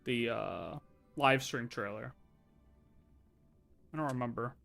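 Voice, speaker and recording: Bright-voiced man reacting casually on a livestream. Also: mid-range voice, slow, vocal fry, soft background music. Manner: off-the-cuff, thinking aloud, self-interrupting.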